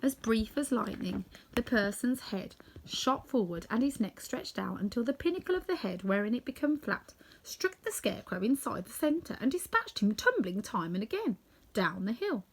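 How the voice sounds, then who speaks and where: A woman reading a children's story aloud as scripted, expressive narration. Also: on the high side, moderate pace, husky, low-quality recording. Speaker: storybook reader.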